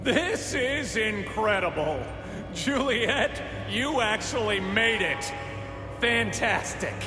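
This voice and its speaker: Slow, high-voiced gloating villain. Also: male voice, animated. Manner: drawn-out, smug, theatrical. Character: gloating, theatrical young male mastermind villain. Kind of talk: video game villain acting. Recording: echoey hall reverb, music behind.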